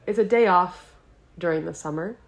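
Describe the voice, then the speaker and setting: Young woman, midwestern american accent, slightly low pitch, laid-back chatty guest. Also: normal speed. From Wisconsin, United States. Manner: relaxed, chatty, many "like" fillers. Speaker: podcast guest. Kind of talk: casual chat.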